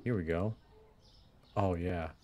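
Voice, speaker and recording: Man, gameplay commentary, laid-back muttering, unhurried delivery. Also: sits low, mellow, slight background noise. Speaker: gameplay video host. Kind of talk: casual let's play commentary.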